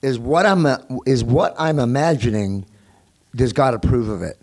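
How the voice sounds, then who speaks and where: A man's voice: medium pitch, lively intonation, moderate pace, a little mellow. A man, married, teaching a congregation as pastor in a chatty style, laughing often and repeating words as he goes. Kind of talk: teaching sermon.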